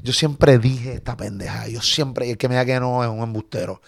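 Animated Puerto Rican man in his forties. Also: medium pitch, gravelly, no room sound, clean studio sound. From Puerto Rico. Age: 40s. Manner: animated, swearing, quick storytelling. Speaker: comedian guest. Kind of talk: casual interview chat.

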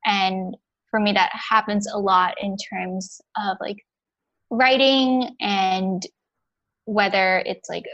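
Young woman, interview guest, reflective, halting, lots of fillers. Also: mid-range voice, a bit bright, rough recording. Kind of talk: casual interview chat.

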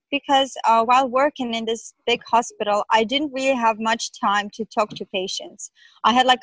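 A woman's voice: fairly high pitch, fairly bright tone, no echo, poor audio. A young woman doctor, not a native English speaker, answering interview questions in a thoughtful, hesitant way with many "like" fillers.

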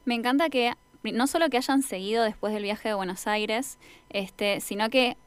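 A woman's voice: fairly high pitch, normal speed, smooth, no room sound. A young Argentine woman co-hosting a casual radio interview, rambling and correcting herself as she goes. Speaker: radio co-host.

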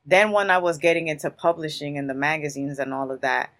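A guest in their thirties from Newark speaks, answering in long, emphatic stories full of casual street phrasing. The voice sits at a middle pitch, neither high nor low. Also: fairly even intonation, fairly quick, a bit bright. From Newark, New Jersey. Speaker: guest, creative in publishing.

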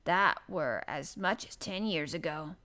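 A woman's voice: sits low, a little slow, pushed and bright, pitched below the performer's own voice. Woman acting grumbling old Yorkshire gardener man. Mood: reluctant, wistful. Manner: grumbling, grunting, dialect speech. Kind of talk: audiobook character voice.

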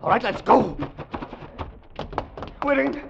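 A man acting a bold, urgent inventor in a brisk, commanding 1950s radio drama manner. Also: very high voice, animated, gravelly, exaggerated voice. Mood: urgent, determined. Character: bold, urgent male inventor of a time machine. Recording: noisy, dull vintage radio recording.

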